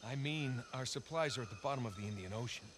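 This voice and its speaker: A man's voice: mid-range voice, fast talker, noisy background, close to an everyday voice. A man playing a rugged younger-brother adventurer in a video game, exasperated and wry, talking in a natural, incredulous way. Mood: doubtful, wry.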